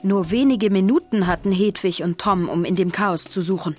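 A woman's voice: mid-range voice, moderate pace, darkish tone, soft background music, close to an everyday voice. A woman narrating a children's audio drama as a scripted storyteller.

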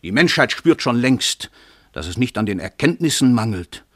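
Arrogant, declamatory famous crime writer. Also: male voice, mid-range voice, very animated, normal speed, no room sound, studio quality. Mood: impassioned. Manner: declamatory, domineering, emphatic. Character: world-famous male crime writer, arrogant, cynical confessed murderer. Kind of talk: theatrical German radio drama monologue.

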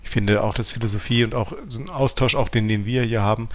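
A man's voice: mid-range voice, steady tone, fast talker, a little hiss or hum. A man co-hosting a podcast, talking analytically with hesitant starts and frequent rephrasing. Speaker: podcast co-host.

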